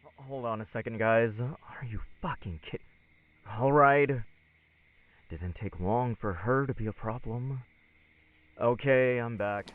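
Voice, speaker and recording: A man's voice: mid-range voice, unhurried, no room sound, natural voice. An actor playing an irritated, surly teenage boy in an audio drama, curt and sarcastic. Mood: annoyed. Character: irritated, surly teenage brother, foul-mouthed. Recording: distant, muffled phone-like sound, dry.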